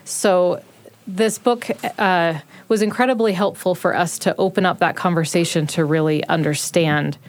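A woman's voice: fairly low pitch, steady tone, moderate pace. A woman being interviewed, casually telling a personal story and acting out remembered dialogue. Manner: conversational, acts out remembered dialogue. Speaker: interviewed parent.

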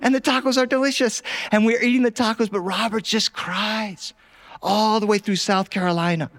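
High-voiced man telling a story. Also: normal speed. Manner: animated, acts out family dialogue. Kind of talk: live first-person storytelling.

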